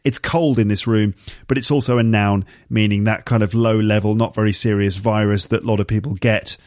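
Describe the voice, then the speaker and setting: A man with a slight cold, teaching vocabulary on his podcast in a chatty way, repeating key words to make them clear. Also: on the low side, steady tone, brisk, a little mellow, no echo, clean studio sound. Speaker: English teacher and podcast host. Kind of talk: casual explanatory podcast monologue for learners.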